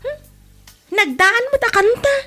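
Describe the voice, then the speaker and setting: A woman's voice: extremely high pitch, animated, a little slow, bright, forceful tone, full character voice. A woman voicing a placating, obedient young child in an Ilocano comedy drama. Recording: music clearly behind the voice.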